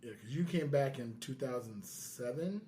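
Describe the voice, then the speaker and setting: A man's voice: mid-range voice, lively intonation, normal speed, mellow, slight background noise. A man hosting a podcast, chatting casually. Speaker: podcast host.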